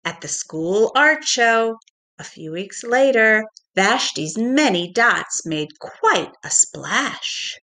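Slow-paced middle-aged woman reading to children. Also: fairly high pitch, noisy background. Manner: deliberate, expressive, addressing young children. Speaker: pre-K teacher reading to children. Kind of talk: children's storybook read-aloud.

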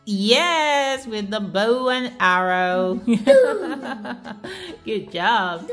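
Lively, high-pitched mother reading to a child. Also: drawn-out words, soft background music. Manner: encouraging, prompting with questions, echoing answers. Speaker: mother reading a picture book with her child. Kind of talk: read-aloud with questions to a young child.

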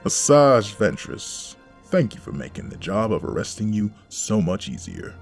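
A man acts a stern, commanding warrior-monk in an audio drama. His voice is gravelly with lively swings in pitch, clipped and accusing. Loud music runs underneath. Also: a little slow, full character voice. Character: stern, accusatory male Jedi master, commanding. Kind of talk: fan audio drama acting.

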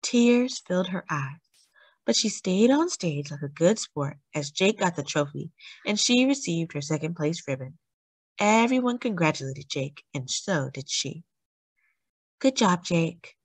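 Expressive, low-voiced woman reading to children. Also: breathy edge, no room sound. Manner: deliberate, dramatic emphasis on key words. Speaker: storyteller reading a children's picture book aloud. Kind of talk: expressive read-aloud narration for children.

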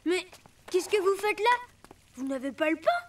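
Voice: extremely high pitch, expressive, moderate pace, a little hiss or hum. A performer voicing a confident, teasing older kid bear in a breezy, childlike way for a preschool cartoon, with music behind. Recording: slightly distant, music behind.

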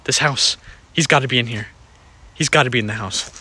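A man's voice: mid-range voice, fairly quick, bright, forceful tone, husky. Man playing a dogged, muttering beast on the hunt. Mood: searching, intent. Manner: repetitive muttering to himself. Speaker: puppeteer voicing a character. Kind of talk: improvised home puppet film dialogue.

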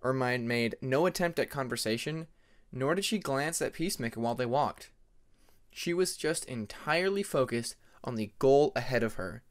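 A man reading scripted story narration as an audiobook narrator. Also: medium pitch.

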